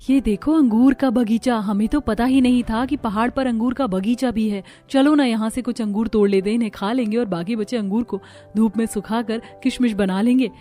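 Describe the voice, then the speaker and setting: High-voiced eager young village bride played by a woman. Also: a little fast, warm tone, soft background music, lightly acted. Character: eager young village bride, dreamy and pleading cartoon daughter-in-law. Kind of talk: cartoon story dialogue.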